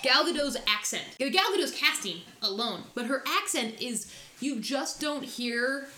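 A woman with a high, bright and forceful voice talks films and comics with friends, blunt and opinionated, cursing as she goes. The sound carries a roomy echo. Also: animated, unhurried. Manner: opinionated, blunt, swears freely. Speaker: podcast co-host. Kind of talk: casual chat about films and comics.

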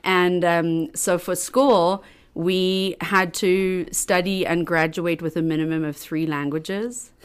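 A woman's voice: on the low side, fairly even intonation, takes it slowly, clear, resonant tone. A middle-aged woman from South Africa with a South African accent, a podcast guest telling stories in a chatty way with fillers and laughs. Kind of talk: casual chat.

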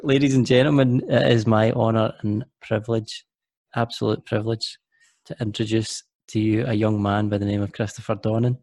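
A man's voice: fairly low pitch, little pitch movement, normal speed, darkish tone, no echo. A man hosting a podcast interview, chatty and jokey, often restarting his sentences. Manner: rambling, self-correcting, jokey. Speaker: podcast host.

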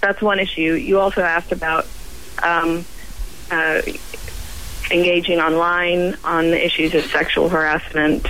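A woman scientist guest on a radio roundtable, croaky from a cold, speaking haltingly with many pauses and restarts. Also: lowish voice, little pitch movement, fairly bright tone, a little hiss or hum, low-quality recording.

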